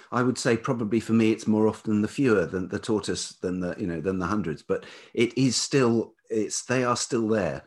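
A middle-aged man with a velvety voice, talking reflectively and haltingly as he tells stories as a podcast guest. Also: on the low side, breathy edge. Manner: hesitant, halting, circling back. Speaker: podcast guest, writer and musician. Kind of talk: reflective conversational storytelling.

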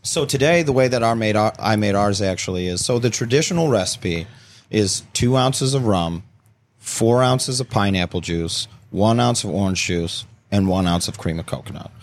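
A man's voice: lowish voice, moderate pace. An American man in casual conversation, explaining things in an informal, easygoing way as a guest bartender.

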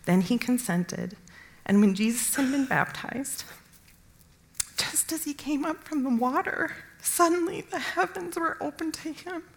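A woman reading scripture aloud in a measured way. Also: high-pitched, gravelly, slight background noise, rough recording. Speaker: scripture reader.

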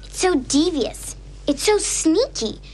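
High-pitched, scheming sitcom girl. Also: lively intonation, slow pace, a little mellow, a little hiss or hum, heavily acted, poor audio. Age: child. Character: scheming, sassy young twin girls pleading with dad.

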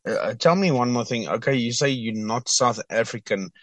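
A man's voice: mid-range voice, moderate pace, fairly bright tone, dry recording. A man hosting a road trip interview show, asking questions in loose, slightly broken phrasing. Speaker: show host.

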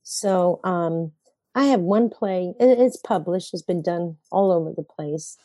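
Older woman playwright, low mellow voice, dry close sound; rambling, reflective, self-correcting, wryly funny. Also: unhurried, dry recording. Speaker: playwright guest. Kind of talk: conversational interview.

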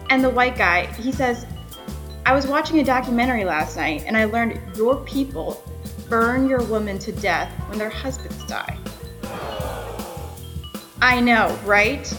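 A sardonic stand-up comedian played by a woman in an audio drama. She tells stories conversationally in a medium-pitched voice, with music clearly audible behind. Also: fairly bright tone, natural voice. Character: wry female stand-up comedian telling family anecdotes. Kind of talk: stand-up storytelling.

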